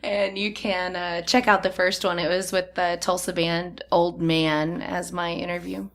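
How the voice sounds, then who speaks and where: A woman guest on a podcast, talking in relaxed casual chat about her radio work, laughing easily. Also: lowish voice, measured pace.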